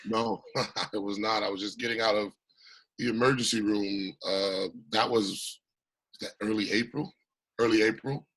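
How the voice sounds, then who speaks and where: A man with a husky, raspy voice talks with passion and emphasis as an interview guest, still recovering from an illness. His tone is bright and forward. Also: lowish voice, steady tone, unhurried, rough recording. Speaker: congressional candidate, guest. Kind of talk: remote interview.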